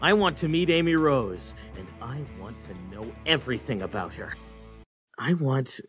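A man's voice: mid-range voice, animated, moderate pace, a bit bright, lightly acted. Guy doing a shy jittery young hedgehog fanboy, cartoon dub, voice jumps all over, music behind. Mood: anxious, shy. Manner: flustered, jittery, overexcited. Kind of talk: comic dub voice acting.

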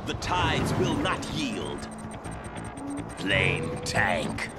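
Casting: menacing game zealot of fire, solemn and unhurried, wide pitch swings, loud music behind. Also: male voice, wildly swooping pitch, full character voice. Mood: solemn, resolute. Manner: solemn, deliberate, fanatical. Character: zealous male flamethrower soldier, solemn and menacing. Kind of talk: video game unit barks.

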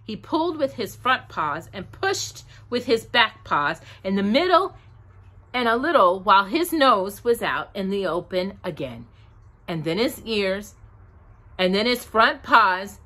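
A middle-aged woman reading a children's storybook aloud at home, expressive and dramatic, recorded close on camera. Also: mid-range voice, unhurried. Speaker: storytime reader. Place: home, small room with bookshelves.

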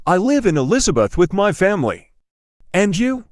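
A man's voice: high voice, sing-song, moderate pace, dry recording, studio quality. A man reading a simple English dialogue for learners, carefully enunciated and repeating each line. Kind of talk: slow scripted dialogue reading.

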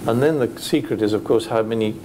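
A man's voice: mid-range voice, quick pace, darkish tone, slight background noise, rough recording. A man speaking as a panelist at a hotel industry conference, thoughtful and hesitant with many restarts.

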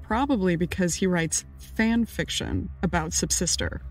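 An actor playing a sardonic podcast sidekick and investigator in an audio drama, with a dry delivery. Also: male voice, high-pitched, expressive, measured pace, faint music behind, rough recording.

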